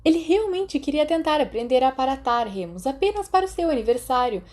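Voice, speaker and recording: An audiobook schoolboy sidekick, performed by a woman, nervous and grumbling. The voice is pushed higher and sounds whiny and fretful. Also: expressive, brisk, darkish tone. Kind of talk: audiobook character dialogue.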